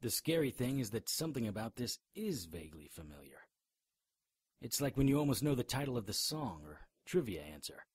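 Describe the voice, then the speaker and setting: A man's voice: medium pitch, big pitch swings, fairly quick, a little mellow, slightly rough, dry recording, clean studio sound. Man playing a sardonic hard-boiled hero, swooping delivery. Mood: brooding. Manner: wry, sardonic. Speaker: radio drama actor. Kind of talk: radio drama dialogue.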